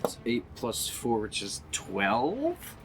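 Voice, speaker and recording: A man's voice: medium pitch, wildly swooping pitch, slow pace, background noise. A man chatting casually as a player in a tabletop roleplaying game, informal and thinking aloud as he goes.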